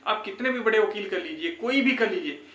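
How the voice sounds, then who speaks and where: A man's voice: high-pitched, lively intonation, fairly quick, pushed and bright. A young man giving an informal, reassuring update to viewers, often repeating his phrases. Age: young adult. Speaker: YouTube exam-update presenter. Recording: echoey, roomy sound.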